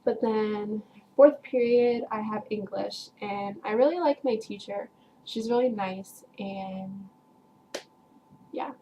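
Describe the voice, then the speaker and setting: A teenage girl chatting away to her viewers, telling a meandering story in a mid-pitched voice. She fills her sentences with "like" and "um", and laughs easily as she goes. Faint background noise sits under the recording. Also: takes it slowly, lo-fi sound. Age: teens. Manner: chatty, rambling, heavy use of "like" and "um"; frequent laughs while telling. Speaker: vlogger. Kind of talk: casual storytelling to camera.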